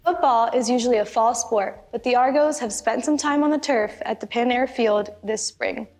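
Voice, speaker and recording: A woman's voice: fairly high pitch, normal speed, darkish tone. Young woman host presenting a campus show. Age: young adult. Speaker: student host. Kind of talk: scripted presenting.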